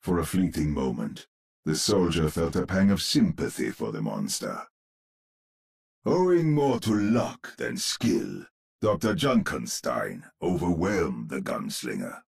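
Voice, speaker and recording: An actor playing a grim narrator of gothic horror tales in a video game, with a gravelly, husky voice and grandiose, suspenseful delivery. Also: male voice, extremely low pitch, very animated, a little slow, a little mellow, heavily acted. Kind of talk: theatrical gothic horror storytelling.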